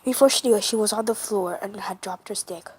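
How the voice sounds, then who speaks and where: A woman narrating a fan story for her first amateur comic dub, halting with many restarts and self-corrections. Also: medium pitch, darkish tone, breathy edge. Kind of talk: reading a fan story aloud.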